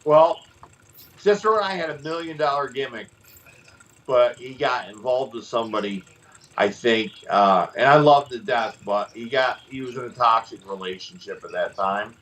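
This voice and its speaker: A middle-aged man with an even, mid-pitched voice rambles through stories on a casual podcast. He jumps from tangent to tangent and restarts his sentences often. His tone stays animated and chatty. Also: moderate pace, low-quality recording. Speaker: podcast host, former wrestler. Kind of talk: casual storytelling chat.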